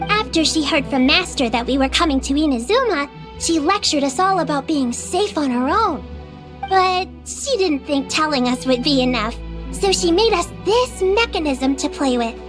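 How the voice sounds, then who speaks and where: A woman voicing a caring, responsible little girl in a video game, gently instructive, with music behind her. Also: sits high.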